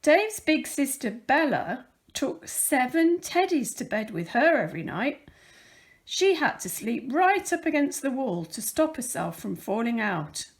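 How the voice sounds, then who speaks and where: Female children's story reader: high-pitched voice, unhurried pace, expressive and deliberate delivery. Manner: deliberate, careful storytelling with dramatic emphasis. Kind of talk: expressive read-aloud of a children's picture book.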